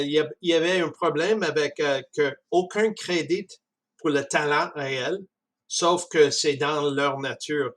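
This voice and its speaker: A man with a Canadian French accent talking casually about a book, halting often with restarts and chuckles. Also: fairly high pitch. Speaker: speaker discussing a book. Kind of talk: casual spoken commentary.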